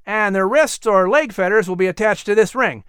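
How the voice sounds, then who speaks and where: Man doing an eager young workman in an audiobook, pitched up high, helpful n matter of fact, clean dry sound. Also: normal speed, a bit bright, clear, resonant tone, dry recording. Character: helpful, oblivious young male hospital workman, cheerful.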